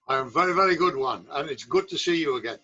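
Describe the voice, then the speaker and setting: A man's voice: on the high side, expressive, fast, pushed and bright, breathy edge. A man over seventy-five with an English accent, speaking as a veteran football coach in a reflective, conversational interview. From England. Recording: slightly dull, lo-fi sound.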